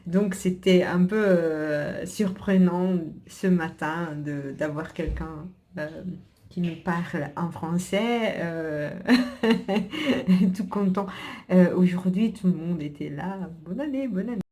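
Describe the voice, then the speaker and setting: A woman with an Italian accent chats slowly and loosely on her own podcast, her voice low and mellow. She stops and restarts often, hunting for words between fillers, and laughs softly at herself. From Italy. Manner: hesitant, many fillers and restarts, frequent laughs. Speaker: podcast host. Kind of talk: casual personal podcast chat.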